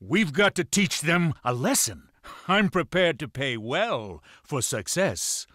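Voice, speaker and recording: An actor playing a shrewd, commanding pirate lord in a video game, speaking in a measured, menacing and authoritative way. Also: male voice, a little high, big pitch swings, a bit bright, no room sound, clean studio sound, exaggerated voice. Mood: stern. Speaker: video game character giving mission briefings. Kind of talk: dramatic game cutscene acting.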